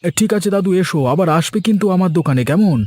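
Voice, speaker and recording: A man's voice: high-pitched, lively intonation, fast, a little mellow, dry recording. A man voicing a cheerful, eager young village food seller, chatty and deferential, in a cartoon story. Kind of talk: Bengali cartoon story voice acting.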